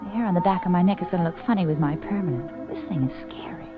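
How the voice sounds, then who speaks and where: An American actress playing a spunky, wisecracking, easily spooked young sidekick in an old-time radio drama. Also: female voice, lowish voice, fast talker, over music, lightly acted. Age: young adult. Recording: muffled old radio recording.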